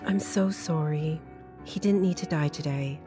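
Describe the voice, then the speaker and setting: A woman playing a composed, protective vampire mentor in a video game, measured and matter-of-fact. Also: deep voice. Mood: calm, confiding. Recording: music behind the voice.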